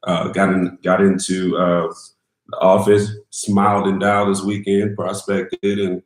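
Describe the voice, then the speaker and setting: A man from New Orleans, slightly raspy today, co-hosting a casual podcast in an upbeat manner and laughing easily. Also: low-pitched, level tone, unhurried, roomy sound. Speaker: podcast co-host, realtor. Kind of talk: casual chat.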